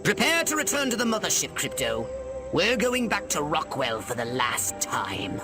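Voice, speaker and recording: A man's voice: fairly high pitch, huge pitch swings, moderate pace, music in the background. A man voicing a bossy alien mission commander in a video game. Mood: commanding. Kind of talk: video game character acting.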